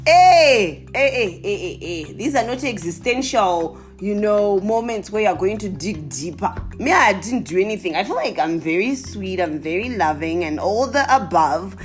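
A young woman from Zimbabwe with a Zimbabwean accent, telling comic stories to her viewers in a casual, animated way with exclamations. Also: mid-range voice, normal speed, few pauses, soft background music. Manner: animated, exclaiming, laughing often.

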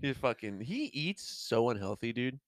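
Rambling young man, lively voice. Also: medium pitch, normal speed, no echo, studio quality. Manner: rambling, laughing, swearing, lots of fillers. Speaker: podcast co-host. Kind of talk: casual chat.

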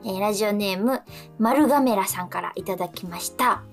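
A woman's voice: mid-range voice, brisk, soft background music. A woman co-hosting a radio show, chatting lightly and reading listener letters aloud.

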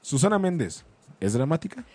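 Teasing Mexican male host, highish voice, dry sound. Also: big pitch swings, moderate pace, a little mellow, slightly rough, no room sound. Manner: teasing, insistent, repeats himself for emphasis. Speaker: co-host of a live talk show. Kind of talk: casual bantering live debate.

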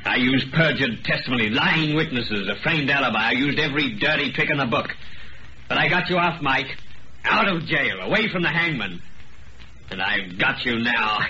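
An actor playing a cunning, vengeful lawyer in an old-time radio drama, articulate and insinuating, outwardly genial but quietly menacing. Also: male voice, mid-range voice, huge pitch swings, measured pace, a crowd in the background. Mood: gloating menace. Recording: dull, noisy old radio recording.

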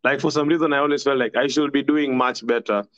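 A man's voice: medium pitch, steady tone, fast, fairly bright tone, no echo, highs cut off. A young man with a Kenyan accent, a podcast guest telling stories from school in a rambling, casual way. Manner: rambling, laughs often, mixes in Swahili. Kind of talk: casual storytelling chat.

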